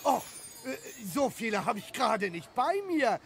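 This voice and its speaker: A man voicing a goofy, simple-minded fish seller in a story, naive, eager and halting. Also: extremely high pitch, lively intonation, creaky, music in the background, voice pushed up, full character voice.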